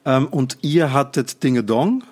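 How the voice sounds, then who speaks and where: A man hosting a podcast interview, talking casually and often pausing with fillers. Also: mid-range voice, animated, darkish tone, slightly rough. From Netherlands. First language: Dutch. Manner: prepared, hesitates with "ähm". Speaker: podcast host.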